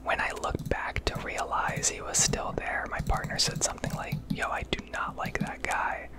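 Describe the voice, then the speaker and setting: An American woman rambling candidly and casually in a breathy ASMR whisper, swearing now and then, recorded on a close microphone. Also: normal speed. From United States. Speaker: ASMR video creator.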